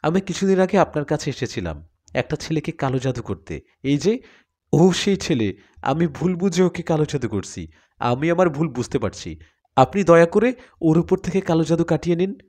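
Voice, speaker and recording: A man's voice: fairly high pitch, sing-song, normal speed, darkish tone, voice pushed up. Man voicing a remorseful, pleading young woman. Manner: respectful, pleading.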